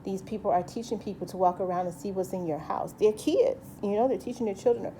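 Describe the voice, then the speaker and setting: A woman's voice: medium pitch, quick pace, mellow, slight background noise, rough recording. A woman with a light voice giving a rambling, earnest personal monologue as a vlogger, full of restarts and asides. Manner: rambling, earnest, many restarts and "you know".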